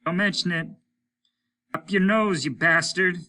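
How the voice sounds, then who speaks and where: Man voicing an embittered aging astronaut, pitched high. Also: a little slow, pushed and bright. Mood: gruff, defiant. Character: embittered, repentant aging male astronaut, gruffly confessing. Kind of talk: dramatic audiobook character reading.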